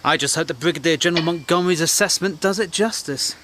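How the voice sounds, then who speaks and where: An actor as a higher-voiced, wry English war correspondent. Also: male voice, fast talker, fairly bright tone, faint music behind, close to an everyday voice. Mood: earnest, probing. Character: earnest, probing British war journalist, wry and polite. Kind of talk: period audio drama acting.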